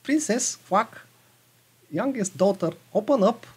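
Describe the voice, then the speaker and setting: Here is a man acting out a persistent storybook frog who pleads and insists. The voice is raised and very raspy, with lively swoops and the odd quack. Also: high-pitched, a little slow, voice pushed up, heavily acted. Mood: insistent.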